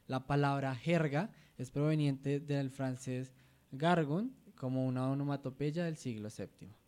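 A man from Colombia co-hosting an educational radio show, reading definitions in a measured way. His voice is mellow and warm, at an ordinary pitch. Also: smooth.

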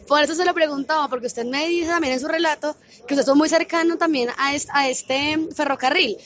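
A woman's voice: sits high, fairly quick, a bit bright. A woman hosting a radio interview, asking questions in a friendly, conversational way. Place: radio booth at a book fair stand.

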